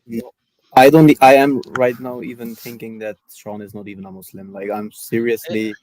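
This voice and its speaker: A man's voice: medium pitch, level tone, moderate pace, a crowd in the background, low-quality recording. A man debating on a video call, hesitant and leaning heavily on fillers as he talks. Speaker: debate guest.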